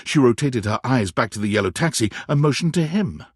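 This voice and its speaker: Male game narrator, theatrical storytelling, animated pitch, slightly raspy tone, dry close recording. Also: fairly quick, no echo. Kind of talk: scripted dramatic second-person narration.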